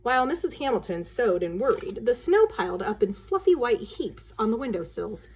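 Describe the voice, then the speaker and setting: A woman reading a children's story aloud in an expressive narrating style, with casual asides. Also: fairly high pitch, moderate pace, husky, low-quality recording.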